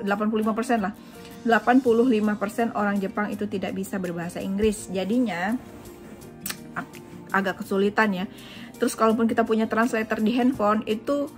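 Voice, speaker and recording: A young woman from Indonesia, a vlogger chatting casually at home as she answers viewer questions, with frequent fillers. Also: mid-range voice. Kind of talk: casual chat. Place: home in Japan.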